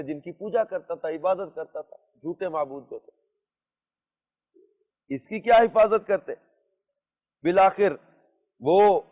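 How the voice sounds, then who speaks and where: High-voiced man teaching in echoing hall. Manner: emphatic, repeats phrases, poses rhetorical questions. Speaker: religious teacher telling moral stories. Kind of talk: religious storytelling lesson to listeners. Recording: muffled lo-fi audio in reverberant hall.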